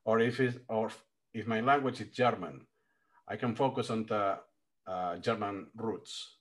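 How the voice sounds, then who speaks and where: A man's voice: on the low side, measured pace. A man in a casual call, explaining a language-learning idea step by step with frequent restarts. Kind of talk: casual video call chat.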